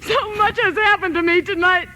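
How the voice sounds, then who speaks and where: An actress playing a frantic, demanding bedridden wife in an old-time radio drama. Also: female voice, fairly even intonation, moderate pace, sharp tone. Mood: alarmed. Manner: shrill, demanding, panicky. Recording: noisy old radio recording, clicks.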